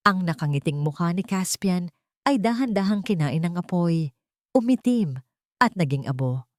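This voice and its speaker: A woman's voice: fairly low pitch, a little mellow, no room sound, studio quality. A woman with a Filipino accent narrating a dramatic first-person romance story from a script.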